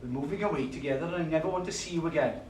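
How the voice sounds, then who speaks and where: A man voicing a cold woman who is leaving her partner, in a flat, dismissive way. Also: on the high side, racing, a little hiss or hum, natural voice. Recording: echoey room, distant mic, music behind.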